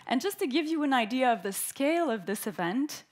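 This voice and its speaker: A woman from Canada giving the formal welcome speech at a conference, clear and orderly as she reads prepared remarks into a stage microphone. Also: high-pitched, lively intonation, brisk. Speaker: event host, opening speaker. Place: conference hall.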